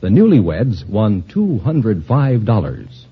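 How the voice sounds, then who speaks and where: A man's voice: on the low side, very animated, slow pace, husky. A man announcing on a radio quiz show as co-host, presenting scores and guests politely. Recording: dull vintage radio recording with audience. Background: studio audience.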